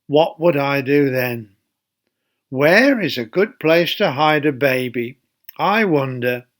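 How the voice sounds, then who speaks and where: A man reading a Bible story aloud to children as a church storyteller, speaking deliberately and putting questions to his young listeners. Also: medium pitch, expressive, a bit bright.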